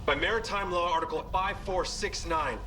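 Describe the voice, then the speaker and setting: A man's voice: high voice, moderate pace, pushed and bright, distant mic, a little hiss or hum. A man playing an anxious ship's doctor in a dramatic sci-fi game scene. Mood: urgent, grave. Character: anxious male ship's doctor pleading with his deranged captain.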